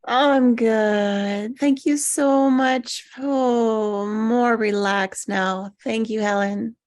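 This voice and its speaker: A woman's voice: fairly high pitch, steady tone, very slow, darkish tone, no echo, studio quality. A woman in a comedy table read playing a drugged, loopy airline passenger with a woozy, rambling drawl. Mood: woozy, giddy.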